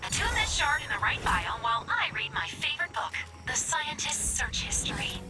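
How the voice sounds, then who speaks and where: A woman's voice: sits high, sing-song, normal speed, recorded from a distance. A woman voicing an intense, devoted young female robot assistant in a video game, childlike and melodramatic, turning from pleading to menacing. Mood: earnest, intense. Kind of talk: video game character voice acting. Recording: loud music behind the voice.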